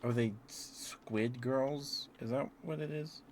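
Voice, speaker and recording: A man's voice: mid-range voice, unhurried, a little mellow, slight background noise. A man streaming casual, rambling ASMR chat, musing aloud hesitantly and letting thoughts trail off.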